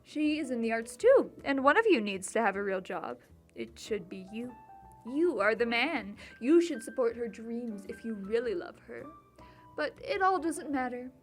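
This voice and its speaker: A woman playing a haughty middle-aged upper-class mother in a dramatic monologue, condescending and deliberate. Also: on the high side, very animated. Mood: cold, disapproving. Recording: music bed behind voice.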